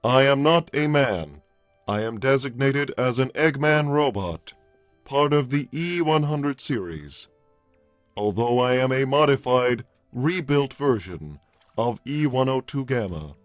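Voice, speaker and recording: A man plays a robot peace consul in a livestream roleplay. He speaks slowly and formally, each stilted phrase set down deliberately, with old bitterness underneath. The sound is dry and close, with music playing. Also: medium pitch, lively intonation, no room sound, close to an everyday voice. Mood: solemn, formal. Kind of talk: improvised character roleplay on a livestream.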